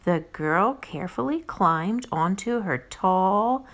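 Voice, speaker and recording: A woman storyteller reading a storybook aloud to young children, expressive and playful. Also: medium pitch, slow. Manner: expressive, addresses listeners playfully.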